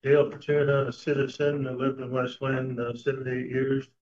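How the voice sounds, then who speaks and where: An older man giving a public comment at a meeting, speaking haltingly with many fillers. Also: mid-range voice, little pitch movement, a little mellow. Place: meeting room. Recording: dull lo-fi meeting recording.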